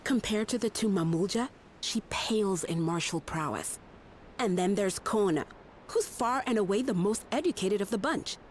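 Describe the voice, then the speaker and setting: A woman's voice: mid-range voice, animated, moderate pace, a little hiss or hum, lightly acted. An actress playing a blunt, skeptical townswoman gossiping matter-of-factly in a video game. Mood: doubtful. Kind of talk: scripted video game dialogue.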